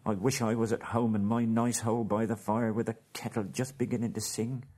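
A small, frightened hobbit , as a man puts him on pleads in a high, panicky, breathless voice. It sits far above the storyteller's usual low register and comes out loud and strained. It is a figure from a read-aloud storybook. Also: little pitch movement, fairly quick, slightly rough. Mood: wistful.

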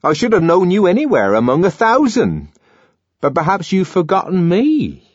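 A shrill, trembling middle-aged woman begging to be remembered, as a male reader puts her on. Her voice rises high and wavers in despair. The sound is dry and a little dull. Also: huge pitch swings, normal speed, slightly rough, no echo, exaggerated voice. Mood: pleading. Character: distraught faded middle-aged woman, pleading.

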